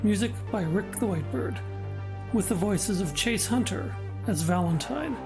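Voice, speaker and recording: A woman announcer delivers scripted end credits for an audio drama. Her pitch is middling for a woman, and music plays clearly behind her. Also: normal speed.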